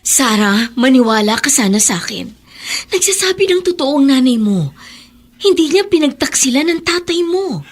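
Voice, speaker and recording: A woman's voice: high voice, very animated, moderate pace, soft background music. An outspoken, protective woman. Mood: pleading. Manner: frank and earnest. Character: caring younger cousin, outspoken and protective. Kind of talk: radio drama acting.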